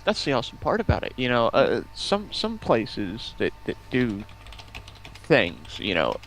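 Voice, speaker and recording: A man's voice: medium pitch, huge pitch swings, a little slow, fairly bright tone. A man chatting casually about games as a guest on a live stream. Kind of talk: casual gamer chat. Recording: faint noise, keyboard typing behind.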